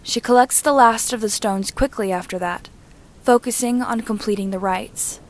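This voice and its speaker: A woman reading a story aloud as scripted narration, in a measured, descriptive manner like an audiobook reader. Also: on the high side. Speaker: reader and narrator of fan fiction.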